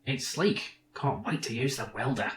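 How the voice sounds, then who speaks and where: A man voicing a snarky, reckless little robot sidekick from an adventure game, with a deadpan manner. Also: mid-range voice, normal speed, a little hiss or hum, lightly acted. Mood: smug.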